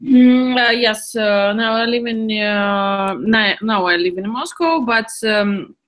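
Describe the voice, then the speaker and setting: A woman's voice: fairly high pitch, slow, fairly bright tone. A young woman from Ukraine chatting in an English speaking club, hesitant, with many fillers and restarts. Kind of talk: casual chat in learner English.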